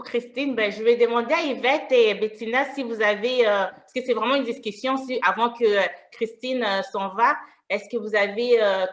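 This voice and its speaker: A woman's voice: medium pitch, a bit bright. A woman hosting an online panel discussion, reading out questions to her guests with frequent fillers. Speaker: host, moderator.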